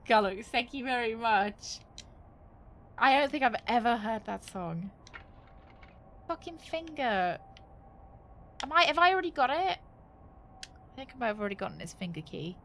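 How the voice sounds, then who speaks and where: A woman's voice: on the high side, bright, forceful tone. A woman chatting casually as she streams a game, thinking aloud with wry, joking asides. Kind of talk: casual live gaming commentary.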